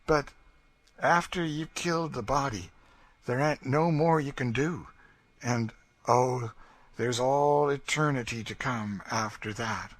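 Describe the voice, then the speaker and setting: A man voicing a devout, steadfast enslaved man who speaks calmly and earnestly in a plain rural dialect. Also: medium pitch, slow, dry recording, pitched above the performer's own voice. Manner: calm, earnest, pious.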